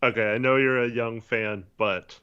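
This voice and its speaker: A man co-hosting a casual sports chat, measured and teasing, hedging his points. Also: medium pitch, fairly even intonation, a bit bright, clear, resonant tone, lo-fi sound. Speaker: podcast co-host.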